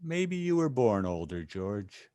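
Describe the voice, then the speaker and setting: Man playing a tired father with expressive intonation. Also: fairly low pitch, slow pace, no room sound. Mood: wistful. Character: tired, gentle, principled middle-aged father. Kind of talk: old-time radio play acting.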